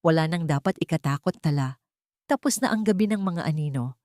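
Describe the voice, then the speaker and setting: A woman's voice: low-pitched, lively intonation, brisk, darkish tone, no room sound, clean studio sound. Woman voicing a protective, firm, tender man. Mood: tender reassurance. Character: protective wealthy man, commanding yet tender.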